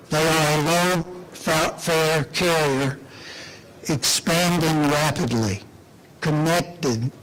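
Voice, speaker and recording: A man speaking as an official at a public announcement, deliberate and emphatic, repeating phrases with rhetorical pauses. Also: on the high side, a bit bright, low-quality recording. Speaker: airport official giving an announcement speech. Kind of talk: public speech at a press announcement.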